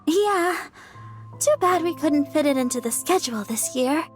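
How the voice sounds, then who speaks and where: A modest, helpful young idol girl from a video game. Her voice is extremely high and gentle, with an earnest, bashful delivery. Faint music sits underneath. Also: animated, normal speed. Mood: earnest, thoughtful. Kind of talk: video game cutscene acting.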